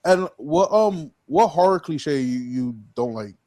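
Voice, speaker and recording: A man's voice: a little high, lively intonation, measured pace, warm tone. A man hosting a podcast in an informal, chatty way, agreeing briefly and putting questions to his guest. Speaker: podcast host. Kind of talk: casual chat, interviewing.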